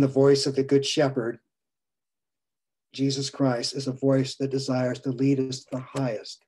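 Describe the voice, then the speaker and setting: A priest in his sixties preaching a gentle sermon from a home study over a video call, his phrases trailing off softly. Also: mid-range voice, slightly rough, poor audio. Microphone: video call webcam.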